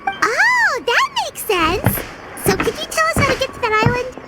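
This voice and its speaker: Tiny, squeaky game fairy over music. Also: female voice, big pitch swings, normal speed. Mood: eager. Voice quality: squeaky, childlike. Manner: perky, exclaiming, speaks of self in third person. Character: tiny excitable childlike floating fairy companion, female. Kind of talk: video game voice acting.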